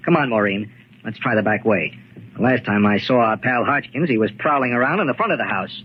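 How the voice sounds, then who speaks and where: An actor playing a wary, sharp-minded newsman with a brisk manner, in 1940s radio drama style. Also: medium pitch, lightly acted. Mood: urgent. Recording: dull, noisy 1940s radio recording.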